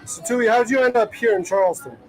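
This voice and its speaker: A man hosts a live show from an outdoor event in an upbeat, conversational way. His voice sits high. Music plays clearly behind him. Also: fairly quick, rough recording. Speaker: host.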